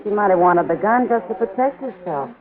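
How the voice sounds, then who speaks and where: A woman's voice: medium pitch, lively intonation, a little fast, phone-quality sound, close to an everyday voice. An actress playing a worldly, easygoing frontier saloon woman with a cool, plain-spoken manner in an old radio western. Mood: thoughtful. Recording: thin old radio recording, crowd behind. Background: saloon crowd.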